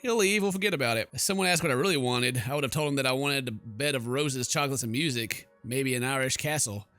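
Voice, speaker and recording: A man's voice: medium pitch, quick pace, sharp tone, dry recording. A man hosting a game playthrough, reading story text aloud and adding joking, playful asides. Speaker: gaming video host. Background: game music.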